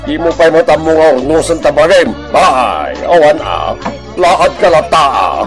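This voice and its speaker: An actor in an Ilocano radio drama playing an excitable, grumbling man who exclaims often. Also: a little high, moderate pace, over music. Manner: exclaiming, full of interjections.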